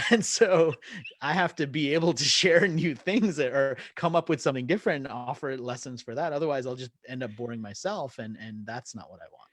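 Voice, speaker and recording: A man in a casual interview, sharing anecdotes conversationally. His voice sits at an average male pitch, and the sound is dry with very little room in it. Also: brisk, a bit bright, no echo. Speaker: interview guest, author and speaker.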